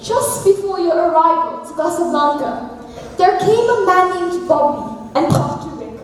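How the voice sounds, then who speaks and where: A woman's voice: very high voice, measured pace. A young woman playing a formal, knowing, politely evasive fixer in a stage drama. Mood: measured, evasive. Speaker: student actor in a school play. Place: large hall at a school stage event. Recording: echoey hall from far off, faint noise.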